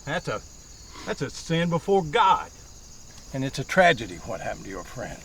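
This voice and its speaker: A man's voice: medium pitch, big pitch swings, normal speed, creaky, fairly bright tone, a little hiss or hum. An actor playing a crude, folksy rural man with a drawl, outdoors. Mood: leering. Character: crude rural older man, pious yet lewd.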